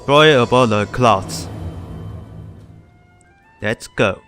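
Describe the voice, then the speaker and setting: A man doing casual let's play commentary on a live stream, talking in short, halting phrases. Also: mid-range voice, fairly bright tone, faint music behind.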